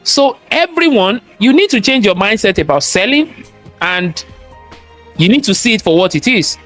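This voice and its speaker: High-voiced Nigerian man, emphatic. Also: big pitch swings, normal speed, a bit bright, faint music behind. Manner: emphatic and preachy, repeats key phrases, asks rhetorical questions. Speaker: guest, cybersecurity expert and entrepreneur. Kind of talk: interview conversation, motivational talk.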